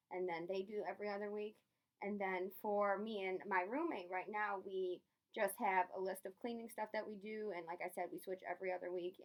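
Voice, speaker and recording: A woman's voice: mid-range voice, rough recording. A young adult woman, a student, politely and earnestly answering questions as a candidate in a phone interview.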